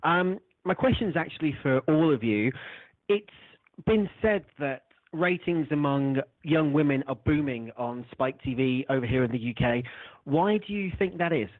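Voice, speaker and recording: Guy podcaster asking q on a call, highish voice, phone sound. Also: expressive, normal speed, no echo. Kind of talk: press call question. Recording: dry, narrow phone line.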